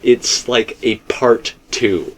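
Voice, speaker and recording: A man's voice: mid-range voice, slow pace, fairly bright tone, slight background noise. A man in a casual, rambling fan discussion, repeating himself for emphasis as he co-hosts. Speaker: co-host discussing video game theories.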